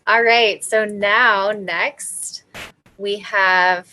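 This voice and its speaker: Bright, slow-talking event host woman. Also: mid-range voice, steady tone, poor audio. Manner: bright, upbeat, reads bios aloud. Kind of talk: introducing presenters at an online event.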